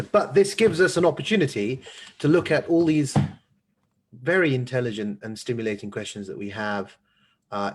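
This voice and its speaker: A man moderating an online panel discussion and reading out questions in a measured, formal, hesitant way. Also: fairly low pitch, breathy edge. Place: online video call.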